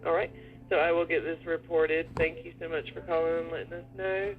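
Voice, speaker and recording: Tinny phone line, man, fairly high voice, very even intonation, polite, businesslike call-center agent. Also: a little fast, faint music behind. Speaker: bank fraud line agent. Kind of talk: customer service phone call. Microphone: telephone.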